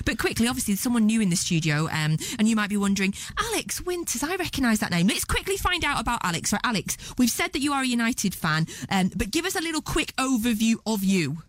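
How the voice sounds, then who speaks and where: A woman hosting a radio football show from a studio, upbeat and teasing with her guests. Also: medium pitch. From Scotland. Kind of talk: radio presenting.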